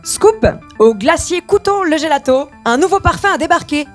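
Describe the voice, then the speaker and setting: A woman's voice: high-pitched, animated, normal speed, soft background music. A woman presenting a cheerful mock radio news bulletin in a chirpy announcer style.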